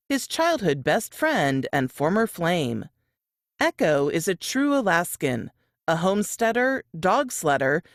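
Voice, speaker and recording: A woman with a slightly low, very animated voice reads scripted promotional narration. Her delivery is dramatic storytelling, recorded close and dry. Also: no room sound. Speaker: book blurb narrator.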